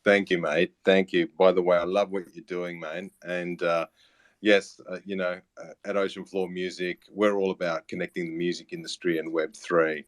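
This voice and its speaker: A man in his late thirties with an Australian accent talks casually as an interview guest. His voice is low, and his delivery is matey and halting. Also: flat delivery. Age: late 30s. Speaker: interview guest, music platform founder and teacher.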